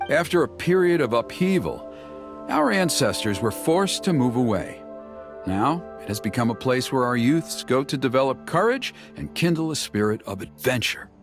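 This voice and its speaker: Dignified tribe official, earnest, formal, medium-pitched, very varied intonation, video game dialogue, music behind. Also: male voice.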